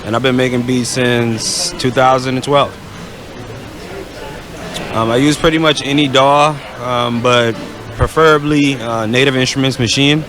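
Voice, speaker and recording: A man's voice: mid-range voice, fairly even intonation, unhurried. A man, a music producer, answering interview questions casually with many fillers. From the Bahamas. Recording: noisy background.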